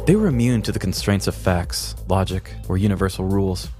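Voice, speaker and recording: A man co-hosting a podcast, telling a scripted comedic story in a deliberate, mock-grandiose way. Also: low-pitched, animated, dark tone, soft background music. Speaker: podcast co-host.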